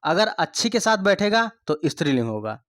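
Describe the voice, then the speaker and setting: High-voiced male Hindi tutor, repetitive. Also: sing-song, normal speed, a bit bright, no echo, studio quality. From India. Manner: repeats points, asks the class rhetorical questions. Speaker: exam coaching teacher. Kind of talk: online Hindi grammar lesson.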